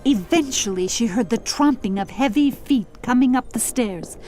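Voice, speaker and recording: A woman narrating a scripted storybook audiobook in a deliberate, dramatic storytelling manner. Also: a little high, animated, darkish tone, a little hiss or hum.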